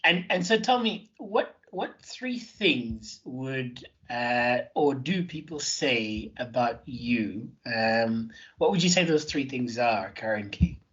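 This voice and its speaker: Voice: mid-range voice, lively intonation, takes it slowly, hardly any pauses, fairly bright tone, highs cut off. A podcast host who talks in an effusive way, full of fillers and repeated words. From Johannesburg, South Africa. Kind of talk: interview hosting.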